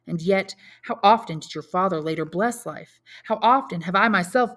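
A woman's voice: lowish voice, a bit bright, lightly acted. A woman voicing a solemn, commanding count. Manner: solemn, persuasive, measured.

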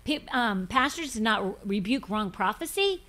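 A woman's voice: fairly high pitch, moderate pace. A woman in her fifties hosting a faith podcast, talking emphatically in a casual way at a desk mic in a studio. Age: 50s. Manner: animated, emphatic, gestures a lot. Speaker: podcast host. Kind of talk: casual religious talk. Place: studio set with floral backdrop.

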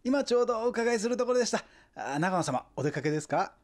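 Radio comedy role for an actor: insistent, suspicious doorstep caller, fast, swooping, accusatory. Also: medium pitch, lively intonation, natural voice. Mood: pressing. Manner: pressing, accusing. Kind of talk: comedy radio drama acting.

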